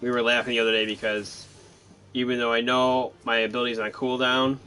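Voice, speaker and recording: A man's voice: medium pitch, level tone, a little fast, pushed and bright, clear, resonant tone, faint music behind, rough recording. A man giving casual let's-play commentary in a laid-back, hesitant, rambling way. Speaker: gaming commentator.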